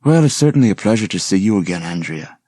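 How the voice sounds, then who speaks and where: A man's voice: on the low side, very animated, quick pace, warm tone, husky, no echo, studio quality. A man voicing a charming, flirtatious college professor in an audiobook, suave and mock-formal.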